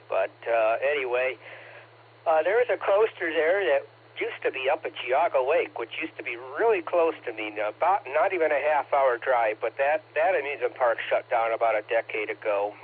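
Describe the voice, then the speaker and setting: A man in his late thirties from Ohio, chatting in a rambling way with many fillers over an amateur radio net. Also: mid-range voice. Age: 30s. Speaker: ham radio net operator. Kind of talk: casual radio chat. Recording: tinny two-way radio, faint hiss.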